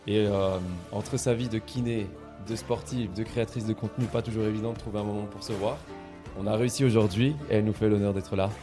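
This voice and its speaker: A man's voice: on the low side, fairly even intonation, fast talker, over music. A man hosting a podcast interview in casual chat, hesitant and often restarting his phrases. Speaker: podcast host.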